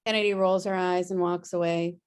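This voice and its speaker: A woman's voice: on the low side, level tone, fairly quick, clean tone. A woman reading scripted stage directions as narrator of a table read over a video call.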